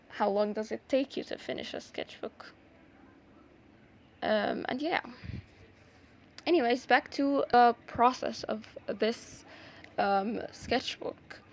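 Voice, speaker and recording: Woman, young adult, native Arabic speaker, higher voice, unhurried, hesitant craft vlog voice-over. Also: darkish tone. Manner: hesitant, many ums and restarts. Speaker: art vlogger. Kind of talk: casual voice-over tutorial.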